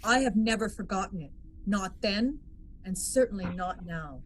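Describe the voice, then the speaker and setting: A woman's voice: mid-range voice, lively intonation, moderate pace, close to an everyday voice, low-quality recording. A woman playing a firm, by-the-book military legal officer in a table read, formal and authoritative, with some background noise. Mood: stern. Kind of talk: amateur table read of a sci-fi script.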